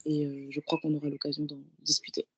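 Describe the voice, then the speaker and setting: A woman's voice: low voice, monotone, fast, breathy edge, lo-fi sound. A woman in her twenties from Paris, speaking casually and reflectively as a guest in a conversation. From Paris, France. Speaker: guest, professional handball player.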